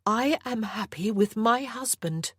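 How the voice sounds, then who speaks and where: A woman's voice: a little high, expressive, measured pace, slightly rough, dry recording, clean studio sound, voice pushed up. A woman reading the part of a young mother standing up to her captor, firm and earnest. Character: young mother, defiant and composed.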